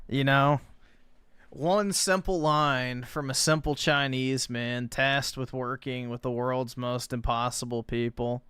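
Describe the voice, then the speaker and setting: A man streaming and reacting to clips, talking in a blunt, crude, sarcastic and deadpan way. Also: medium pitch, clear, resonant tone, no echo, clean studio sound. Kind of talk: casual stream commentary.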